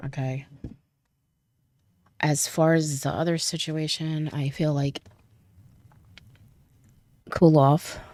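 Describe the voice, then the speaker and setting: A young woman with a very low, gravelly voice and a mellow, dark tone reads tarot in a loose, chatty way. She sounds a little stuffy from a cold. The recording is dry and close. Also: dry recording. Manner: chatty, laughs often, repeats "I feel like". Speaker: tarot reader. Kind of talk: casual tarot reading to camera.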